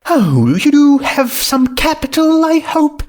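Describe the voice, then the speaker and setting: A man's voice: extremely high pitch, big pitch swings, takes it slowly, darkish tone, pitched above the performer's own voice, heavily acted. A man voicing an elegant, self-assured female cartoon pony dressmaker with a posh, dramatic manner, in a story reading. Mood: excited, scheming. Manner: posh, dramatic, calls people darling.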